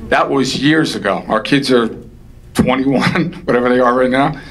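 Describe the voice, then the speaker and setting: A man speaking in a council meeting discussion, rapid and rambling, conversational. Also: medium pitch, low-quality recording. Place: township council meeting room.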